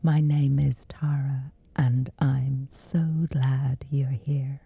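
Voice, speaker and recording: A woman with a whispery voice, slowly and gently guiding a sleep meditation. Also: rumbling low, level tone, gravelly, husky, highs cut off. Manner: slow, soothing, unhurried. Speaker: meditation guide.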